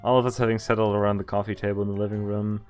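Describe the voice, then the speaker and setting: A man's voice: on the low side, flat delivery, very fast, a little mellow, clear, resonant tone. Casual male game streamer over music. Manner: casual, reacts with laughs and interjections. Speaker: streamer playing a visual novel. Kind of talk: let's-play commentary, reading game text aloud.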